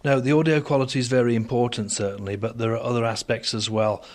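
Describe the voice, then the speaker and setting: A man speaking as an expert guest in a radio interview, explaining things in a measured, formal and articulate way. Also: mid-range voice, fairly even intonation. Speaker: interviewed expert on broadcast technology.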